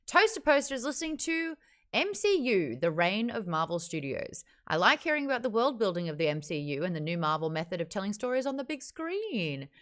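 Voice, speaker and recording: A woman's voice: mid-range voice, sing-song, brisk. A woman hosting a casual live book club, chatting and reading out viewer comments.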